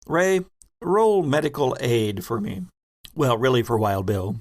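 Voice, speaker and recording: Slow, deliberate man as game master. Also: mid-range voice, big pitch swings, a little mellow, no room sound, clean studio sound. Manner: deliberate, suspenseful pauses. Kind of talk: dramatic, narrating a tabletop game.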